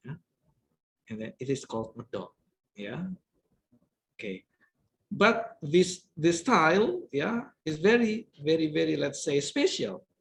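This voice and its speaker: A man's voice: fairly high pitch, vocal fry, a little hiss or hum, poor audio. A man giving an online lecture, often stopping on "yeah" and "uh" as he explains. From Indonesia. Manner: repetitive fillers, frequent "yeah" tags.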